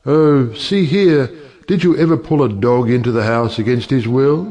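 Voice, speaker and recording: An actor playing a wry, provocative middle-aged judge and uncle in an audio play, speaking in a rhetorical, oratorical way. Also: male voice, medium pitch, normal speed, dark tone, natural voice. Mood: persuasive, confident. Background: music.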